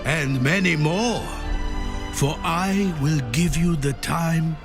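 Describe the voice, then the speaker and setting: A man acts out a wise, noble fantasy game emperor, solemn and resolute. He proclaims grandly and slowly, with sweeping pitch and a gravelly edge, over music. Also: huge pitch swings, exaggerated voice. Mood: grave proclamation. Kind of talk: animated fantasy game storytelling.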